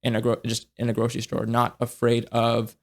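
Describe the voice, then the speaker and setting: A young man chatting as a podcast guest, reflective and halting, with many restarts and fillers as he searches for words. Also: mid-range voice, monotone. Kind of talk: casual conversation.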